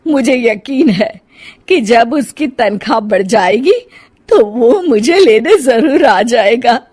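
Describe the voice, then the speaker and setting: Storybook role: aging, doting, scolding mother, high and plaintive, unhurried woman acting it. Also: lively intonation, a little mellow. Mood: sad, plaintive. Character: sad, snappish elderly Indian mother, doting on her son.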